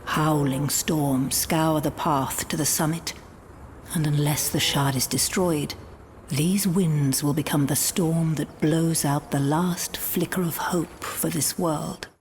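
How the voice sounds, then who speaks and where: Low-pitched woman, grave fantasy game narration. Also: darkish tone, a little hiss or hum. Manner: grave, portentous storytelling delivery.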